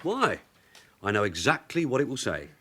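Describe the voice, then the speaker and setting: An actor playing a shrewd veteran London detective sergeant in a radio drama, dry, knowing and informal. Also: male voice, mid-range voice, wildly swooping pitch, normal speed, bright, forceful tone, husky, heavily acted. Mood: wry, knowing. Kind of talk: British radio drama acting.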